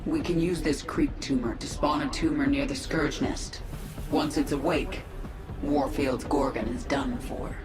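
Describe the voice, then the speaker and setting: A woman's voice: rumbling low, fairly even intonation, measured pace. A ruthless queen of an alien swarm in a sci-fi game. She speaks with menace and command. The voice echoes, set back from the mic over music. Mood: cold, determined. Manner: imperious, menacing. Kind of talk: scripted sci-fi game voice acting. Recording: echoey, distant, music underneath.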